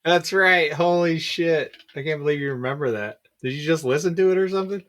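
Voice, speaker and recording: A man's voice: on the high side, brisk, fairly bright tone. A man bantering casually as a podcast co-host.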